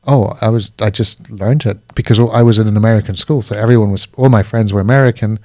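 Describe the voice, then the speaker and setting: A man's voice: lowish voice, fairly even intonation, fast talker, warm tone, dry recording, studio quality. A man with a British accent, a coach talking reflectively as a guest in a casual podcast conversation, often searching for his words.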